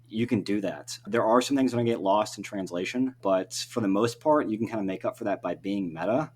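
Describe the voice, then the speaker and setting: A man's voice: lowish voice, a little mellow. A man speaking as a podcast guest and writer, explaining things in a talkative, casual conversational way.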